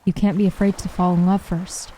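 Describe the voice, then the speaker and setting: A woman's voice: lowish voice, flat delivery, a little fast, dark tone, slight background noise, lightly acted, poor audio. A young woman reading the lines of an earnest, outspoken young woman from a visual novel, in a sincere, plain way.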